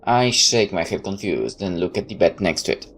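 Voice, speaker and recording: A man's voice: deep voice, expressive, normal speed, a bit bright, slight background noise. A man reading a visual novel aloud in first person, sometimes stepping out of the narration for casual comments. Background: background music.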